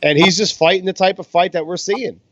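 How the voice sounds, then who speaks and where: A man giving casual, steady boxing analysis as a podcast co-host. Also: sits high, fairly quick. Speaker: podcast co-host commentating on fights. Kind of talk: casual fight commentary. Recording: dull, dry lo-fi recording.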